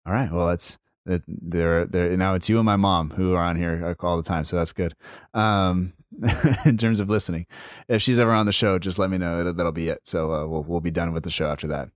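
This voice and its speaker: A man with a low voice hosts a podcast casually. He is laid-back and easygoing, phrasing things loosely as he goes. The sound is dry and close. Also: fairly even intonation, fairly quick, no room sound. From United States. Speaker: podcast host.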